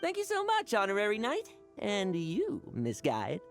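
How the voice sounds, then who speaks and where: A woman's voice: medium pitch, huge pitch swings, measured pace, faint music behind. A woman voicing a brash, upbeat young male knight and wanderer in an anime video game. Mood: upbeat, friendly.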